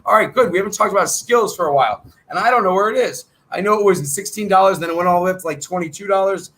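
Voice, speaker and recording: A middle-aged man coaching traders on a live chat, talking in brisk salesy patter while thinking aloud, and he is losing his voice. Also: sits high, fairly bright tone, rough recording. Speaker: trading coach.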